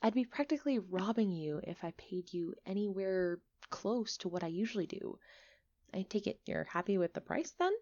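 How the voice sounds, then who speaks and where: Chatty village alchemist, played by a woman: friendly, expressive, easily flustered, medium pitch, ASMR roleplay delivery, slightly dull recording. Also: normal speed, lightly acted. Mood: pleased. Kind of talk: ASMR roleplay acting, one-sided conversation.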